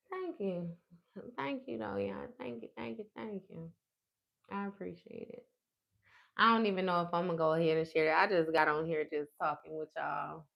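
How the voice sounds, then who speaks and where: A woman's voice: fairly low pitch, creaky, dull audio. A woman in her thirties chatting live with her viewers as a tarot host, blunt and profane. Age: 30s. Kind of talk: casual livestream chat with viewers.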